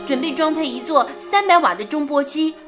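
A woman's voice: sits high, lively intonation, a little fast, soft background music. An actress playing an earnest young woman working at a wartime radio station, in radio drama dialogue. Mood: earnest, concerned.

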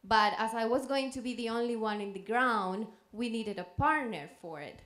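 A woman's voice: on the high side, moderate pace, fairly bright tone. A young woman from Colombia, speaking earnestly with fillers on a conference panel into a handheld mic. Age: young adult. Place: conference room with audience.

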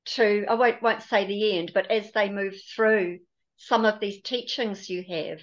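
A New woman in her sixties hosts a reflective conversation over a video call, talking slowly and encouragingly. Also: mid-range voice. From New Zealand. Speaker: podcast host. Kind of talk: unhurried spiritual conversation. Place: home room with patterned screen. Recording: dull lo-fi video call audio.

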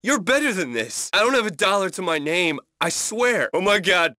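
High-pitched, whiny young hipster. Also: male voice, very animated, normal speed, pushed and bright, no echo, clean studio sound, heavily acted. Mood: indignant, sarcastic. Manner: whiny, self-righteous, exclaiming. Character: indignant, preachy young male hipster pedestrian. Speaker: video game voice actor. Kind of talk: short game barks.

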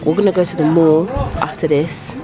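A woman's voice: deep voice, fairly even intonation, brisk, over music, a crowd in the background. A woman in her twenties with a London accent, chatting casually and slangily to her vlog camera. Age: 20s. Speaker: vlogger.

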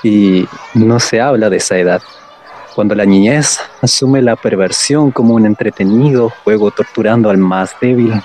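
A man's voice: on the low side, normal speed, a little mellow, close to an everyday voice. A man playing a lonely, wounded character who recalls childhood in reflective, literary radio drama monologue. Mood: wistful, wounded.

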